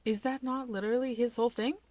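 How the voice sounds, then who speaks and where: A woman's voice: a little high, steady tone, fast, a little mellow, natural voice. A woman voicing an exasperated, anxious young male superhero who questions everything in an incredulous, sardonic way.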